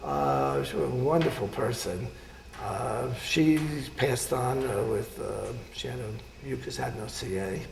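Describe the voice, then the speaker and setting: An older man, a surgeon, recalling his life in an oral history interview, speaking haltingly with many pauses and fillers. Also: mid-range voice, lively intonation, vocal fry, roomy sound, a little hiss or hum.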